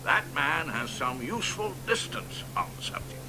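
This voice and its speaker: An actor playing a boastful, crude old American poet in a radio drama, drawling and dropping into hoarse whispers. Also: male voice, on the high side, animated, moderate pace, background noise. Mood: sardonic.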